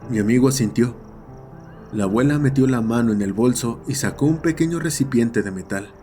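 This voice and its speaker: A man's voice: medium pitch, fairly quick, mellow, soft background music. A man reading a scripted horror story as its narrator.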